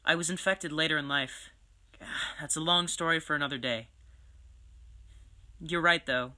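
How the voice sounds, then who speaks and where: A woman playing a tired young Slight in hiding who speaks softly and tentatively, often sighing. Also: sits low, measured pace. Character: weary young Slight on the run. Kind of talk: amateur audio drama acting.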